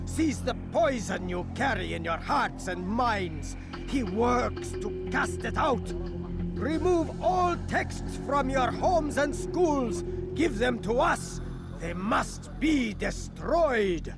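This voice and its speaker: An actor playing a zealous male preacher in a video game, calm, solemn and unshakable, speaking slowly. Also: extremely high pitch, heavily acted. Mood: preaching. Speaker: fanatical preacher of the scholar's cult. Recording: loud game music behind.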